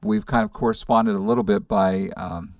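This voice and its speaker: A man's voice: lowish voice, fairly even intonation, moderate pace, dry recording, studio quality. A middle-aged man hosting a morning radio show, chatty and joking, with many fillers. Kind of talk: casual radio interview. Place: radio studio.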